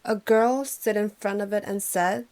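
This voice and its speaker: A young woman from Korea tells her story to camera in native English. Her tone is wry and reflective, measured rather than showy, with a mid-range voice that sits neither high nor low. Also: steady tone, darkish tone. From South Korea. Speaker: English teacher and channel host.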